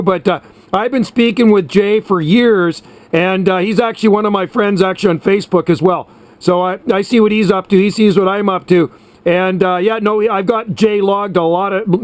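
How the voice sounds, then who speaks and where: Chatty higher-pitched man on the radio. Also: no room sound. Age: around 60. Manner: chatty, reminiscing, uses radio sign-offs. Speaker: amateur CB radio operator. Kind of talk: casual radio chat.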